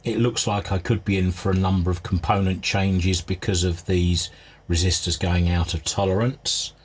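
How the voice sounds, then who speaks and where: A man with a fairly low, husky voice talks through a hands-on inspection. He presents like a restorer at work, thinking aloud in a measured, understated way without a script. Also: little pitch movement. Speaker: vintage radio restorer presenting a repair video. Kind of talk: unscripted hands-on inspection commentary.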